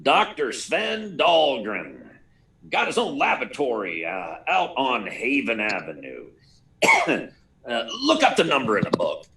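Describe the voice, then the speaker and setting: An actor playing a harried, gruff newspaper editor in a 1940s-style radio serial, stammering and barking clipped orders, recorded at a distance. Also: male voice, mid-range voice, huge pitch swings, a little slow, sharp tone, a little hiss or hum, full character voice. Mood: gruff, hurried. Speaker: actor in a live radio play. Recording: distant, far from the mic.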